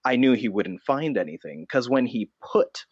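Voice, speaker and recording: A man voicing an earnest, self-serious vigilante notebook disguised as a bat, speaking in solemn, halting, grandiose fashion in an improvised comedy interview. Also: mid-range voice, lively intonation, darkish tone, husky. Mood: grave recounting. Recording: dull, roomy lo-fi sound.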